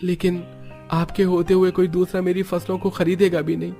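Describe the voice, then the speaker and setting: An actor playing a poor, troubled but patient young farmer husband in a cartoon story. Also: male voice, sits high, monotone, moderate pace, vocal fry, dark tone, soft background music. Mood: pleading.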